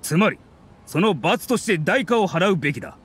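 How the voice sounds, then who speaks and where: An actor playing a haughty, scornful academy scholar in an anime game, speaking in a formal, condescending, accusatory way. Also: male voice, high-pitched, animated, moderate pace, a bit bright, a little hiss or hum. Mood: stern, accusing.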